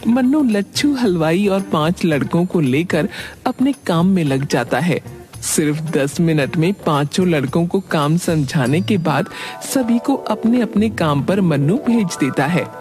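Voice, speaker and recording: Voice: mid-range voice, animated, measured pace, a little mellow. A narrator reading a scripted cartoon story in a storytelling style. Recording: background music under voice.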